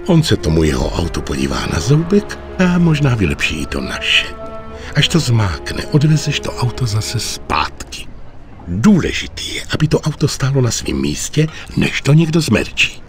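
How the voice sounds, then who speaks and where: A man playing a commanding, middle-aged mafia boss in a video game, speaking with deliberate authority. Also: medium pitch, huge pitch swings, faint music behind. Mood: scheming, commanding. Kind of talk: dubbed video game cutscene acting.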